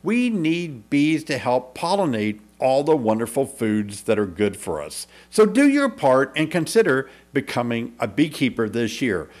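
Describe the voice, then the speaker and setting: Lively, upbeat male beekeeping teacher. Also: medium pitch, a little slow, few pauses. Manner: upbeat, persuasive, clear teaching delivery. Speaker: beekeeping instructor. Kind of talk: scripted video lesson to camera. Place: small indoor studio. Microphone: desk microphone in front.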